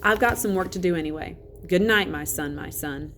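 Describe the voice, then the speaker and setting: A woman voicing a gentle, affectionate, softly coaxing father in a children's storybook. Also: on the low side, a little fast, slight background noise, natural voice. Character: gentle, affectionate, teasing father of a young boy.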